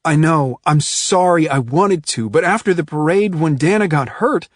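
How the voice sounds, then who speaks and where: A woman voicing a guilty young superhero man who apologizes softly and earnestly. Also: low voice, normal speed, dry recording, clean studio sound, voice pushed up. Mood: apologetic.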